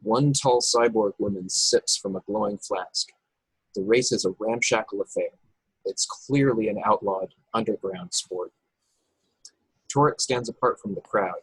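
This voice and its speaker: A man narrates the stage directions of a script at a live table read. His voice is fairly low and husky. The sound is close and dry, with no room echo. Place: remote video call, dry sound.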